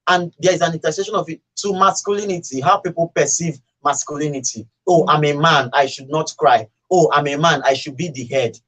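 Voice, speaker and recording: A man's voice: a little high, fairly quick, hardly any pauses. A man with a Nigerian accent in a casual, opinionated radio discussion, telling stories and acting out what people say. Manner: animated storytelling, acting out quoted remarks. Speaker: radio talk show speaker.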